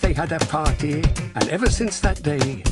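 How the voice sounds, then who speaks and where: Guy narrating a kids storybook, really animated, highish voice, music playing behind. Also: normal speed. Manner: expressive, dramatic storytelling. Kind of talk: scripted children's story narration.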